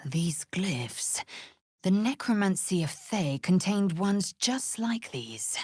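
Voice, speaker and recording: An actress playing a proud, fierce, zealous female githyanki warrior in a video game, imperious, clipped and formal, with a husky, breathy rasp. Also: medium pitch, very animated, takes it slowly, a little mellow, no room sound, studio quality, heavily acted. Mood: grave, measured. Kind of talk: video game character acting.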